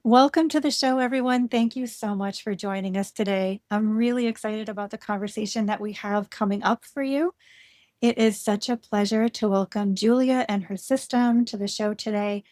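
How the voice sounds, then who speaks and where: A woman's voice: medium pitch, normal speed, darkish tone, no echo, clean studio sound. A woman hosting a podcast, reading a scripted intro and then interviewing a guest in a welcoming, encouraging way. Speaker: podcast host.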